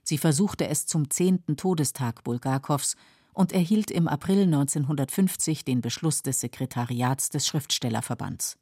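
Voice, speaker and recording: A woman with a husky voice giving sober, measured scripted documentary narration in a radio studio. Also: low voice, darkish tone, no echo.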